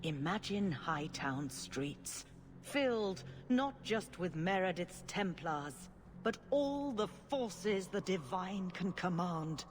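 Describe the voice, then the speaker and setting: A woman playing a solemn, cautious senior churchwoman in a fantasy video game, with formal, measured delivery. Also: on the low side, sing-song, slight background noise. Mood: grave warning.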